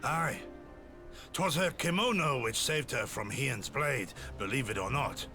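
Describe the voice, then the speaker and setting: A man playing an aged, solemn samurai in a video game, speaking in formal, old-fashioned phrases with a gravelly voice. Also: mid-range voice, lively intonation, unhurried, over music. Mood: grave, recounting. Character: aged male samurai, solemn, gruff, protective storyteller. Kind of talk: dramatic game cutscene acting, archaic diction.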